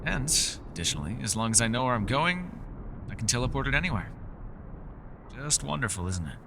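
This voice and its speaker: Man playing a lively, courteous elf in a rainstorm. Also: mid-range voice, fairly quick, vocal fry, background noise. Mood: proud, explanatory. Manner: theatrical, genteel, old-fashioned formal phrasing. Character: mysterious, courteous, playful male elf scholar living alone. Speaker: voice actor. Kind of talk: ASMR fantasy roleplay addressed to the listener. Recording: rain, thunder and storm ambience behind. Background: rain and thunder sound effects.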